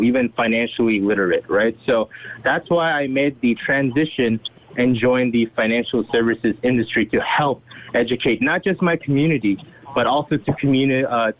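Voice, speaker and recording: A young man presenting himself to a group on a conference call. He talks quickly and chattily and keeps checking in with "right?" and "okay?". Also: mid-range voice, a little hiss or hum. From Saudi Arabia. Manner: fast, chatty, uses "right?" and "okay?" often. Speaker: guest presenter. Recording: tinny phone line.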